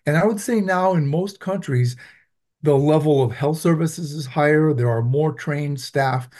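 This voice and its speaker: A man from Venezuela who teaches public health, answering interview questions in a measured, explanatory way with frequent ums. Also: on the high side, a little mellow. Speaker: public health professor, guest.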